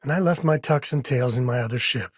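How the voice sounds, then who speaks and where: A man performs a rugged, warm-hearted frontier ranger and devoted dad from a video game. He talks in a folksy, laid-back way with dry wit. His mid-pitched voice has a husky edge and is recorded very dry. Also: brisk, no echo, natural voice. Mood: wry. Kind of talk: video game voice acting, companion dialogue.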